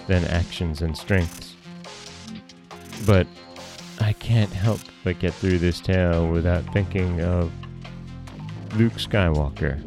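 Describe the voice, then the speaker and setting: A man hosting a storytelling podcast, narrating in a scripted folktale style. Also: low-pitched.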